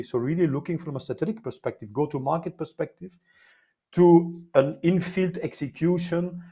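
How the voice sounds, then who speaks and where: A man's voice: mid-range voice, dark tone. A middle-aged man with a Flemish Belgian accent, a guest telling his career story in an interview with enthusiasm, many fillers and repeated words. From Belgium, Flemish-speaking side. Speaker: guest, life science consultant.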